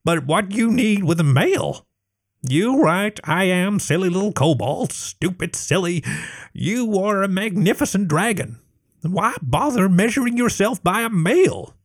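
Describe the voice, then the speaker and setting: A man's voice: sits high, big pitch swings, a little slow, no room sound, studio quality, pitched above the performer's own voice, exaggerated voice. A man voicing a timid female kobold leader who speaks in broken, cringing sentences. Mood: timid, pleading.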